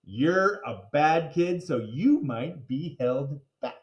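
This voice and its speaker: A man's voice: a little high, animated, slow pace, muffled recording. A man voicing a smug, bratty second-grade girl from a children's storybook, taunting and emphatic. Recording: roomy, echoing sound.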